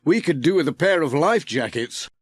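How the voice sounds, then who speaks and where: A man's voice: on the high side, lively intonation, moderate pace, breathy edge, voice pushed up. Guy doing a fretty nice postman for a kids story, plaintive, voice lifted and up and down. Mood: worried. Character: Pat, mild, worried postman, wary of water. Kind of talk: children's audiobook character voice.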